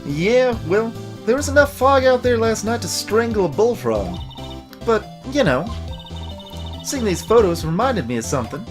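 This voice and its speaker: A woman acts out a brash Southern photographer from a video game. She is sassy and stubborn, drawling country sayings with lively, swooping pitch over faint music. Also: normal speed, lightly acted. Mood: confident, folksy.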